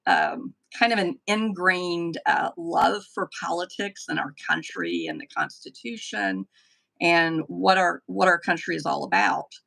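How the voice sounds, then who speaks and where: A middle-aged woman from the southern United States with a softened Tennessee accent, chatting easily as a guest on a live stream interview. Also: fairly low pitch, gravelly. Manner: chatty, with frequent ums and restarts. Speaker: political candidate, guest. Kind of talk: casual interview answers.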